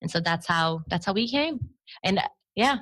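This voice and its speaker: A woman's voice: medium pitch, expressive, moderate pace, fairly bright tone. A woman in her thirties, born in Romania with Romanian as her first language, telling her family story in a chatty conversational interview. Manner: chatty, storytelling, laughs easily. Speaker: guest.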